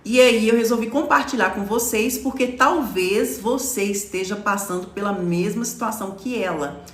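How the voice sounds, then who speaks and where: A woman's voice: medium pitch, normal speed, a bit bright, reverberant. A woman in her forties from Brazil, a lawyer hosting a live stream and explaining to her followers in a chatty way, often checking in with "tá?". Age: 40s. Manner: explanatory, stops often to read comments, says "tá?".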